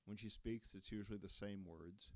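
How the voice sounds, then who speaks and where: A man's voice: lowish voice, steady tone, moderate pace, no echo, natural voice. A man reading an earnest young man from Texas.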